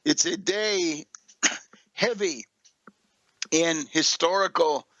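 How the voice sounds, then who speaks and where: Higher-pitched man, deliberate political commentator. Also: pushed and bright, dry recording, rough recording. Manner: deliberate, emphatic, with pointed sardonic phrasing. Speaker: radio commentator and host. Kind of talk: extemporaneous political and historical commentary.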